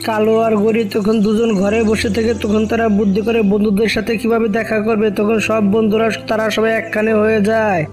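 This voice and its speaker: A woman's voice: fairly high pitch, level tone, brisk, few pauses, darkish tone. A woman narrating a scripted cartoon story for viewers. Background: music.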